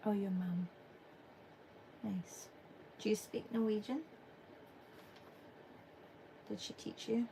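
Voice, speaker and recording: A woman's voice: mid-range voice, slow, vocal fry, long pauses, slight background noise, poor audio. A middle-aged Englishwoman, chatting casually as she draws on a livestream and reads chat messages aloud. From England. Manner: relaxed and chatty, thinking aloud with fillers. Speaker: art livestream host. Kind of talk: casual chat while drawing, reading chat aloud.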